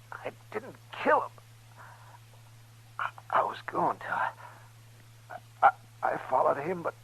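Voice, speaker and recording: Shifty cornered gambler in an old radio western, husky voice, swings all over. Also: male voice, sits high, sharp tone, full character voice, poor audio. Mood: desperate, dying. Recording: old radio drama recording.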